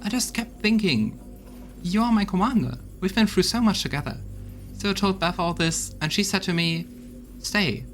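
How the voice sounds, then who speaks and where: A man's voice: sits high, lively intonation, normal speed, a bit bright, soft background music. A man voicing a loyal, weary female army officer in a video game, talking in an earnest, folksy way. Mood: candid, confiding. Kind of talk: voiced video game dialogue.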